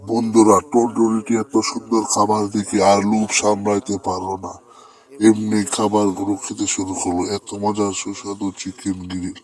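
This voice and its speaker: A man with a low voice narrates a children's tale. His delivery is extremely flat, and he uses simple, repetitive wording as he addresses little listeners. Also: moderate pace, poor audio. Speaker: storyteller, narrator.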